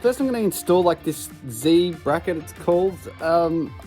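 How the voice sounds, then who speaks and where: A man's voice: a little high, lively intonation, moderate pace, dark tone, faint music behind. A man in his thirties with an Australian accent, casually talking through a do-it-yourself car radio install in an offhand, self-deprecating way. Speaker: electrician vlogger. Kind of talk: casual DIY vlog.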